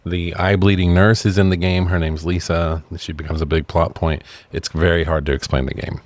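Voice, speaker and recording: A man with a very low voice talks through a film as a podcast co-host. His delivery is casual and sweary, and the recording is dry and close. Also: steady tone, moderate pace, no room sound. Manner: informal, sweary. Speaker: podcast co-host reviewing films. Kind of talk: casual film review chat.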